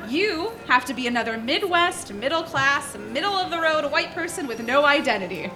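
A woman's voice: high-pitched, lively intonation, pushed and bright, soft background music. An actress playing a nervous, determined middle-aged mother from Iowa in a live radio play. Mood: stern. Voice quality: halting, nervous laughter. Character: flustered Midwest mother, embarrassed. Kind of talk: live stage radio drama.

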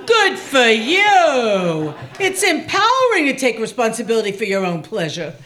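An actress playing a sex shop clerk who welcomes customers slowly and soothingly in a live radio play. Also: female voice, fairly high pitch, wildly swooping pitch, a bit bright, heavily acted. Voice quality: slow, soothing. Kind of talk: live stage radio drama.

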